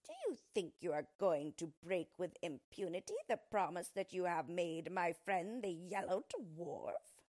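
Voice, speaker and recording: A woman's voice: on the low side, a little slow, few pauses, a little mellow. A woman voicing an ancient, ugly desert fairy, imperious and threatening, drawing out her words in a storybook reading. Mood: threatening, indignant.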